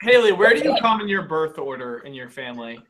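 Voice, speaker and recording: Bright, quippy livestream co-host. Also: mid-range voice, sing-song, quick pace. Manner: quippy, asks questions. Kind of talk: casual group chat.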